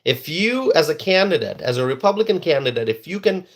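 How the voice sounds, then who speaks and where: A man's voice: a little high, sing-song, brisk. A man whose first language is Bengali, giving an emphatic political opinion monologue and repeating words for stress. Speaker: political commentator.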